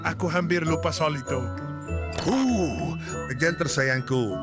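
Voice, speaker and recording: A man voicing a kindly, playful, nostalgic grown-up in a children's cartoon dub, with an expressive, theatrical delivery. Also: a little high, a little slow, loud music behind the voice.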